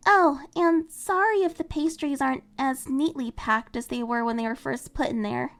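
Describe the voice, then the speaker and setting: A woman voicing a cheerful, eager teenage girl heroine who talks in a bubbly, excitable way. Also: high-pitched, normal speed, pitched above the performer's own voice. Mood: upbeat.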